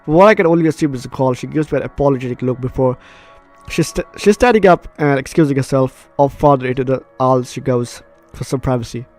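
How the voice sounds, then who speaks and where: A man's voice: medium pitch, fast, darkish tone. A man hosting a visual novel let's play, reading narration aloud with goofy, informal asides and stumbles. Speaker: gaming video host. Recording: game music behind the voice.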